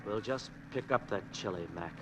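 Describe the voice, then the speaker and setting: An actor playing a coarse, wary, menacing carjacker in 1950s radio suspense, barking slangy orders gruffly. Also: male voice, lowish voice, normal speed, close to an everyday voice. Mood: wary, cold. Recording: noisy vintage 1950s radio recording.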